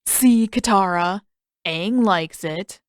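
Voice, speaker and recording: A woman's voice: medium pitch, takes it slowly, no room sound, clean studio sound, natural voice. A woman voicing an indignant, boastful and defensive teenage boy in an audiobook, protesting with emphasis. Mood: triumphant. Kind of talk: audiobook character voice.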